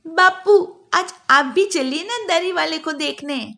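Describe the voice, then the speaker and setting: A woman's voice: very high-pitched, slow, vocal fry, bright, forceful tone, exaggerated voice. A woman voicing a smart, earnest little girl who pleads and explains eagerly in a children's story. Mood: pleading.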